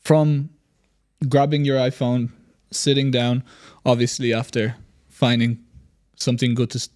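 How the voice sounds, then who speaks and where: A man co-hosting a podcast, interviewing his colleague. He builds his questions slowly and at length, with a few fillers along the way. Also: medium pitch, level tone, darkish tone.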